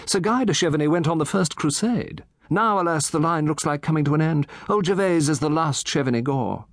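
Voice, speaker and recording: A man voicing a gossipy, genteel elderly English gentleman, raised in pitch, chatty and lightly amused. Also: a little fast, dry recording, studio quality, natural voice. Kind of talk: audiobook character voice.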